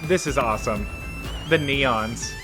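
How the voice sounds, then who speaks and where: A man giving casual, enthusiastic reaction commentary, halting as he thinks aloud. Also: mid-range voice, music in the background. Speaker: reaction video host, gamer.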